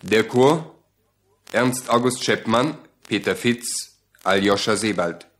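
Radio announcer: man, low voice, formal, very measured pace for reading credits. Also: fairly bright tone.

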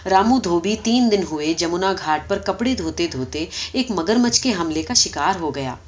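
A woman's voice: on the low side, expressive, lo-fi sound, voice pushed down, lightly acted. A woman voicing an earnest, persistent talking donkey who pleads respectfully and argues back in a story reading. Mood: sorrowful pleading. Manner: pleading, deferential yet argumentative.

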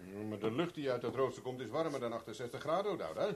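An actor playing a cool-headed, commanding private investigator in a 1970s Dutch radio drama, speaking tersely and with urgency. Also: male voice, medium pitch, lively intonation, fast, slightly rough. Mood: controlled, sardonic. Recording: noisy vintage 1970s radio recording.

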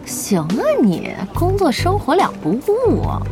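A nosy, bossy young woman who cares underneath, in an audio drama. Her fairly high voice swoops expressively. Music is clearly audible behind her. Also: wildly swooping pitch, measured pace, exaggerated voice. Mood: teasing, nosy.

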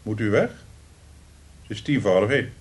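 A man's voice: lowish voice, normal speed, darkish tone, lightly acted. An actor in a radio play as a blunt, sardonic male director, dry and commanding in a job interview. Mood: sardonic probing. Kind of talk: radio drama acting.

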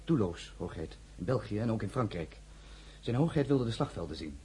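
A man's voice: lowish voice, big pitch swings, normal speed, darkish tone, slight background noise, low-quality recording. A man playing a dutiful military aide to a prince in a radio drama, formal and deferential. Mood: formal, insistent.

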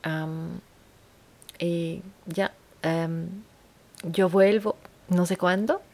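A woman's voice: on the low side, steady tone, very slow, darkish tone. A woman hosting a solo podcast in Spanish, which is not her first language, talking earnestly and often restarting as she searches for words. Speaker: podcast host.

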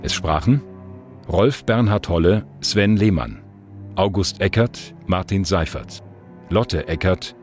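A man's voice: sits low, expressive, takes it slowly, soft background music. A man announcing titles and credits for a radio play in a scripted style.

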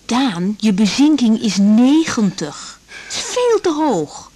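An actress playing a young woman doctor in a 1980s Dutch radio drama, caring, brisk and direct in a conversational way. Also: fairly high pitch, sing-song, warm tone, breathy edge, full character voice.

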